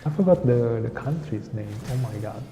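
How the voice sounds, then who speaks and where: A man's voice: mid-range voice, animated, normal speed, warm tone. A young man from Indonesia, chatting casually on a game stream and drifting off topic. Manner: rambling, often loses the thread. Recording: roomy echo with long reverb, faint music.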